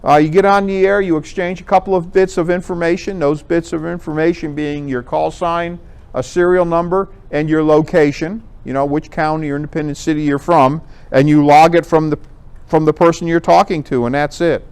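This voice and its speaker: An American man giving an informal presentation, explaining things conversationally and pausing to rephrase. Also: on the high side, fairly even intonation, darkish tone, smooth. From Connecticut, United States. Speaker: amateur radio contest organizer, presenter. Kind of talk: informal club presentation with slides.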